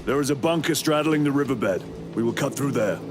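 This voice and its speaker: A man's voice: mid-range voice, fairly quick, lightly acted. A man playing a stern veteran super-soldier lieutenant in a video game, terse, formal and authoritative. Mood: grave. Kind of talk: dramatic video game acting. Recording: loud music and battle din behind.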